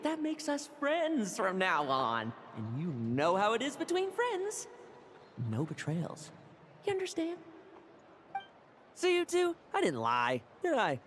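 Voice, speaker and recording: A woman voicing a playful, sharp-edged young knight with a theatrical delivery in an anime-style video game. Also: medium pitch, huge pitch swings, slow pace, a little hiss or hum. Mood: teasing, menacing.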